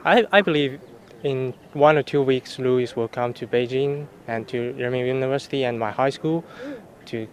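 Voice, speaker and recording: A teenage man whose first language is Chinese answers an interviewer's questions. He speaks English in a relaxed, plain way, with the odd filler. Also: medium pitch, normal speed. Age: teens. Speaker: exchange student.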